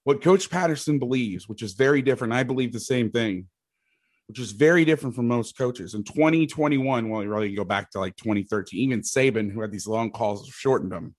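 A middle-aged man with a loud voice, a football coach explaining schemes in loose, rambling chat. His pitch sits at an ordinary middle for a man. The sound is close and dry, with no room around it. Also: brisk, no room sound. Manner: rambling, restarts sentences, occasional mild swearing. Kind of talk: casual podcast chat, explaining defensive schemes.

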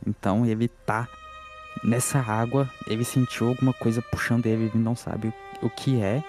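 Man, Brazilian accent, dark mellow timbre, dramatic deliberate storytelling, tabletop player, faint music. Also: medium pitch. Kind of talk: improvised third-person character narration.

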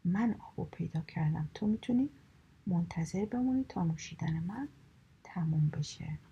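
A plain-spoken billy goat from a storybook fable, protesting in a breathy hush, as a woman reads him. The whisper is husky with a gravelly edge. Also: fairly low pitch, lively intonation, lo-fi sound, heavily acted. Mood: indignant.

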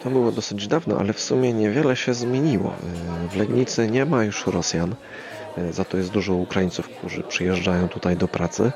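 Low, gravelly man chatting casually. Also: fairly even intonation, fairly quick, a crowd in the background. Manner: hesitant, rambling, thinking aloud. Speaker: podcast host. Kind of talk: casual solo podcast chat.

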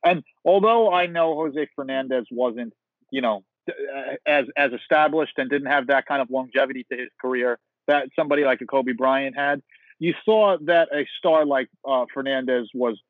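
A man hosting a podcast, asking long interview questions in a halting way with many fillers. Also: mid-range voice, dry recording. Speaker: podcast host. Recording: tinny phone-like line.

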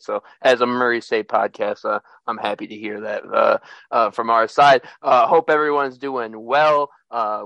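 A young man hosting a sports podcast, talking in a relaxed way with lots of "uh" and "like". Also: on the low side, gravelly, poor audio. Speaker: podcast host.

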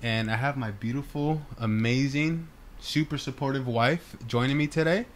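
A man's voice: mid-range voice, moderate pace, slight background noise. Playful man hosting a home podcast. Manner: playful, teasing, lots of fillers. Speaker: podcast host. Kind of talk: casual joking chat.

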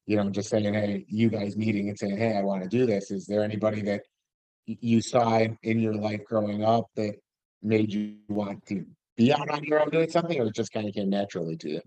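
Halting male host with a dry sound. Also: on the low side, fairly even intonation, dark tone, no room sound, rough recording. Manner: halting, many restarts and fillers. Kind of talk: interview.

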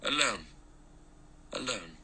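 A man voicing a tense, sharp-tongued young woman with a hard, clipped delivery. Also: on the low side, lively intonation, normal speed, slight background noise, voice pushed up, full character voice.

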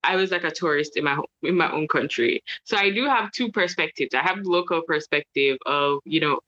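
A Jamaican woman in her thirties chatting casually as a guest about travel, animated and laughing, with lots of fillers and restarts. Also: sits low, a little fast, highs cut off. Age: 30s. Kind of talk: casual podcast chat.

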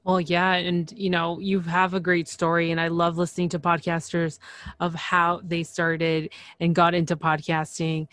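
A woman's voice: lowish voice, completely flat delivery, moderate pace, a bit bright, dry recording, clean studio sound. Upbeat woman hosting a podcast. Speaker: podcast host. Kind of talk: casual podcast interview.

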